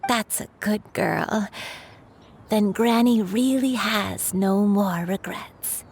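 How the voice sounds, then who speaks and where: Sweet old granny, real slow, a bit crackly, game cutscene. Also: female voice, mid-range voice, a little mellow, breathy edge. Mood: tender. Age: older. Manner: tender, slow and soothing. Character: gentle elderly grandmother, a crane in human form.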